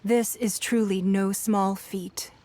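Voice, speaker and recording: An actress playing a poised, shrewd businesswoman who leads a fantasy city, speaking in a measured, formal, diplomatic way in a game. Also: medium pitch, steady tone, warm tone, a little hiss or hum, close to an everyday voice. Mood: composed, diplomatic. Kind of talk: scripted fantasy game dialogue. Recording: music under the voice.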